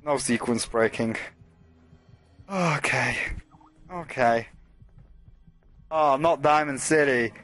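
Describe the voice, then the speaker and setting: A man's voice: medium pitch, slow pace, pauses often, fairly bright tone, faint music behind. A man hosting a let's play, rambling casually and swearing as he talks through the game and to his chat. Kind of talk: casual gameplay commentary.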